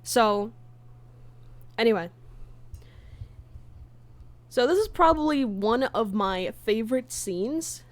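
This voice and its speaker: A woman chatting casually on a livestream as its host and creator. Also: mid-range voice. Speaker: streamer, fan comic dub creator.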